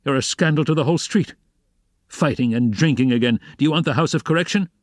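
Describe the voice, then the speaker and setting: A man's voice: on the high side, fast, no echo, clean studio sound, natural voice. A man voicing an enraged, pompous police officer scolding at full volume. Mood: furious. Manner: shouting, scolding.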